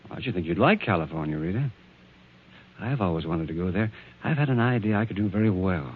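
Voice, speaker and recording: An eager, affectionate suitor in a 1940s radio play. His husky voice is low and swings freely in pitch. The recording is old and tinny. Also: expressive, a little fast. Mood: eager, hesitant. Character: eager, affectionate bachelor artist courting a woman. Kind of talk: 1940s radio drama acting.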